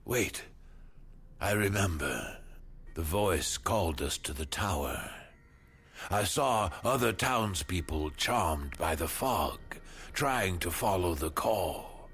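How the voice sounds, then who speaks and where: An earnest, weary townsman in a fantasy game. His voice is on the deep side and very slow, yet swings up and down dramatically, over faint background noise. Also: lively intonation, a bit bright, breathy edge, heavily acted. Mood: dazed, earnest. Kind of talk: dramatic video game acting.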